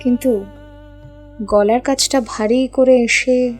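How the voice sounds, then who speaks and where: A woman's voice: on the high side, fairly even intonation, takes it slowly. A woman reading a scripted audio story as its narrator. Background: background music.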